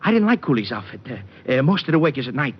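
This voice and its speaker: Frightened, pleading truck driver. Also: male voice, medium pitch, big pitch swings, slightly rough. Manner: agitated, pleading, halting. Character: scared, evasive male truck driver, family man. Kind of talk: 1950s radio detective drama acting. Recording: muffled, faintly noisy old radio recording.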